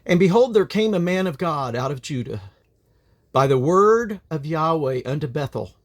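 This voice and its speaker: A man's voice: fairly high pitch, lively intonation, moderate pace. A man reading the Bible aloud as a dramatic narrator, declaiming quoted speech with emphasis. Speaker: Bible reader.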